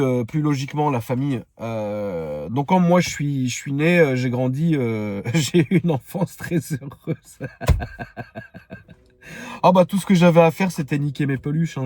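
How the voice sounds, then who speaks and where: A man's voice: on the high side, lively intonation, moderate pace, darkish tone, no room sound, studio quality. A man in his thirties with a Parisian French accent, rambling frankly and casually in slang to his online followers. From Paris, France. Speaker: online video creator. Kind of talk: casual personal storytelling to followers.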